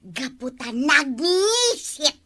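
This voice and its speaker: A woman playing a mocking, sharp-tongued busybody in an Ilocano-accented comedy drama, taunting and exclaiming. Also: sits high, very animated, extremely slow, full character voice. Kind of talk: broad comedy drama acting.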